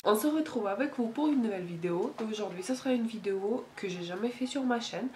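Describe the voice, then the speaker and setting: A woman's voice: a little high, expressive, fast, over loud music. A woman in her late twenties from Ukraine, speaking French with a Ukrainian accent, chatting casually to camera with many fillers and small slips. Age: late 20s. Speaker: YouTuber. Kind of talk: casual Q&A vlog.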